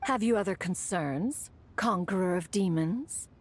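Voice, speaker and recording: A woman's voice: lowish voice, measured pace, slight background noise, natural voice. A woman voicing a proud, aloof immortal adept in a video game, speaking formally and haughtily. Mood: composed. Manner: formal, haughty, refers to self as one. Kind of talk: game voice acting.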